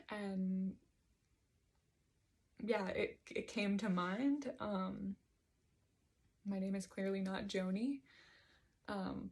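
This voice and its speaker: A woman's voice: medium pitch, darkish tone. A woman giving a reflective solo monologue, hesitant and digressive as she thinks aloud about her writing. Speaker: host reading and discussing own writing.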